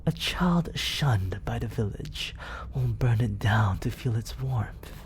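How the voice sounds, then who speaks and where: A man voicing a smooth, manipulative villain in an ASMR roleplay. He speaks close to the mic in a slow, condescending tone that often sinks into whispers. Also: medium pitch, lively intonation, slight background noise. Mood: smug, coaxing. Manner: slow, purring delivery that often drops into whispers; condescending and coaxing. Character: smooth, manipulative male villain, soft-spoken and controlling. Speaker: voice actor. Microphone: close studio condenser mic.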